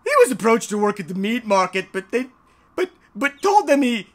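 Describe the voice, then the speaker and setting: An author reading a children's bedtime story in a theatrical, halting way, with repeated words and joking asides. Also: mid-range voice, very animated. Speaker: author reading his own short story.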